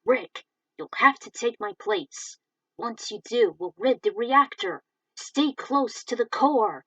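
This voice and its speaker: A woman's voice: a little high, expressive, takes it slowly, fairly bright tone, dry recording, heavily acted. A woman voicing a bold adult male racing hero who gives commands and urges on his partner, recorded dry and a little far from the mic. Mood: commanding.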